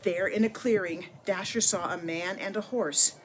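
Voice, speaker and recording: A woman narrating a children's picture book in an expressive, deliberate storytelling manner with dramatic pauses. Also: fairly low pitch, a little hiss or hum. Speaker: storybook reader.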